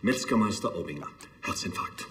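An actor playing a sober, businesslike undertaker who speaks in clipped phrases in a TV drama. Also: male voice, lowish voice, lively intonation, a little fast, a bit bright, a little hiss or hum. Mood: matter-of-fact. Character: sober, matter-of-fact male undertaker. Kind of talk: naturalistic TV drama acting. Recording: echoey room.

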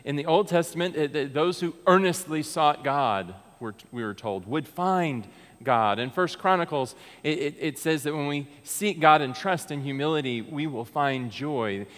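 Earnest American pastor preaching in a reverberant church. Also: male voice, a little high, lively intonation, moderate pace, a bit bright. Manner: earnest and conversational, with frequent restarts and gestures. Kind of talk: sermon. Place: large church sanctuary. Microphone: pulpit mic, far camera.

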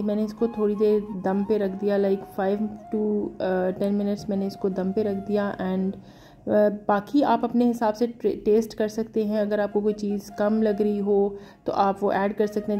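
A woman in her thirties calmly narrating her busy day as a mother, talking unhurriedly with frequent pauses and fillers and mixing in English words. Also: mid-range voice. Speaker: vlogger, mother.

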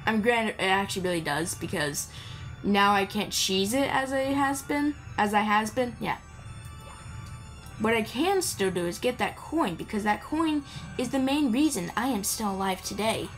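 A woman's voice: medium pitch, lively intonation, normal speed, faint music behind. A woman giving casual, playful, rambling commentary while playing a video game.

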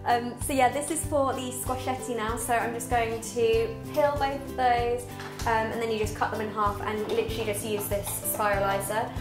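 A fairly high-pitched young British woman talks brightly as she cooks, walking through each step in a relaxed, chatty way. She is recorded on a lapel mic in a roomy home kitchen with music playing behind her. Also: moderate pace. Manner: upbeat, chatty, talks while cooking. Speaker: cookbook author and guest cook. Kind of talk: cooking demonstration chat.

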